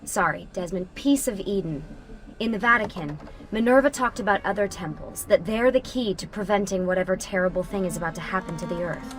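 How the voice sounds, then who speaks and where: A woman's voice: mid-range voice, brisk, close to an everyday voice. An actress playing a composed, serious woman who leads a covert team in a video game cutscene. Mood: explaining. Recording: clear music underneath. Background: music.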